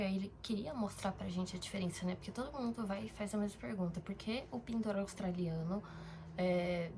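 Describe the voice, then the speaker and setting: A woman's voice: medium pitch, noisy background. A young woman from Brazil talking casually in a diary-style vlog, rambling, with fillers and self-corrections. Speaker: vlogger.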